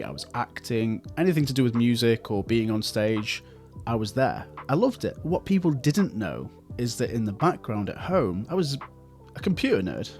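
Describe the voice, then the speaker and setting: Chatty man with a light Stoke accent. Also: mid-range voice. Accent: light Stoke-on-Trent English. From England. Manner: chatty, self-deprecating asides. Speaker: software developer vlogger. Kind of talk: personal storytelling vlog.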